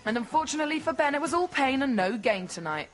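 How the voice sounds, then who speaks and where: A woman's voice: high voice, sing-song, quick pace. A woman narrating a documentary voice-over in a wry, lightly teasing way. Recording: music bed under the voice.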